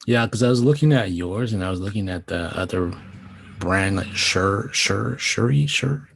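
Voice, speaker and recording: Deep-voiced man, hesitant podcast co-host. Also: expressive, a little slow, creaky, a bit bright, slight background noise. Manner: hesitant, trails off, short agreeing replies. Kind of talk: casual podcast chat. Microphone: no proper mic, recording online.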